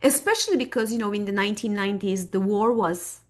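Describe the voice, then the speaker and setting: Thoughtful woman from Turkey, many pauses. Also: mid-range voice. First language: Turkish. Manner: thoughtful, searching for words, many fillers and restarts. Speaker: guest historian. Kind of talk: podcast interview.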